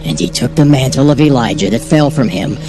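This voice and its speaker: A man narrating a dramatized scripture reading in a measured, solemn storytelling manner. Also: medium pitch, animated, creaky. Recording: narration over background music.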